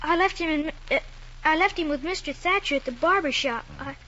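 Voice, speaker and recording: A man's voice: very high voice, a little fast, sharp tone. A young male actor playing an anxious, honest country boy with a Southern American accent, hesitant and polite, in old-time radio drama style. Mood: anxious, earnest. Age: child. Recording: muffled, noisy old radio recording.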